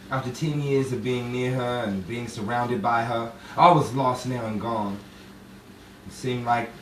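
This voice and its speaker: An actor playing a grief-stricken, brooding man tormented by lost love, with halting, theatrical delivery. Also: mid-range voice, close to an everyday voice. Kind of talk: 1950s-style romantic drama monologue and dialogue. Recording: roomy, distant, faintly noisy stage sound.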